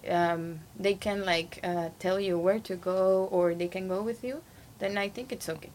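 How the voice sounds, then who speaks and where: A young woman from Guatemala, a Spanish speaker talking in English, chats through an interview at a mid pitch. She hesitates often, piling up fillers and restarts as she finds her words. There is a faint hiss of noise behind her. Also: steady tone, normal speed, darkish tone. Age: young adult. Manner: hesitant, many fillers and restarts, frequent laughs. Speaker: interview guest, international student. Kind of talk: casual conversational interview.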